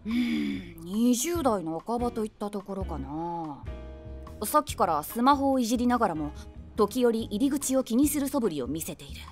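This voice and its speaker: A woman's voice: on the high side, big pitch swings, normal speed, faint music behind. An actress playing a wry woman of forty-six, a self-deprecating eavesdropper narrating an audio drama conversationally in the first person. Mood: curious, observing. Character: wry 46-year-old woman, eavesdropping daydreamer.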